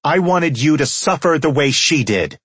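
A stern older woman, put on by a male narrator, unforgiving and reproachful. The pitch is lifted well above his usual voice, with a sharp edge suited to audiobook dialogue. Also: expressive, normal speed, slightly rough, dry recording.

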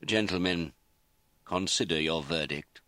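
A man's voice: deep voice, a little slow, pushed and bright, no room sound. A man voicing a stern trial judge in an audiobook, formal and authoritative. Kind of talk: audiobook character acting.

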